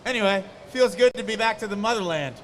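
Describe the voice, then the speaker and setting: An excitable, hyped-up young man on a convention stage, talking into a handheld mic. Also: high voice, fast, long reverb tail, slight background noise. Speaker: reality TV guest.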